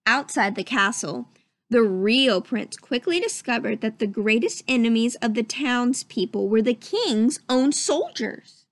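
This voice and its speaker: A woman reading a children's story aloud as narrator, with expressive, dramatic storytelling. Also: fairly high pitch, measured pace, no echo. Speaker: storybook reader.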